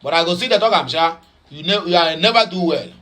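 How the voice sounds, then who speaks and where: A man with a Nigerian Pidgin accent talks through the news in a chatty, mocking way. His voice sits high and sounds bright and sharp. He rambles, repeats himself and drops in Igbo phrases. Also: fast. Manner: rambling with many fillers and repeats. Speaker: news commentator. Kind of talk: casual news commentary.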